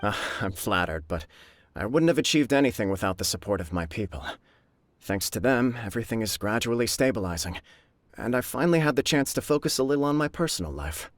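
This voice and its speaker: Game role: man voicing humble young noble, lowish voice, dry studio sound. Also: expressive, fairly quick, dry recording, lightly acted. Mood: formal. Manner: polite, modest, a little bashful. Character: earnest young male clan head, newly engaged.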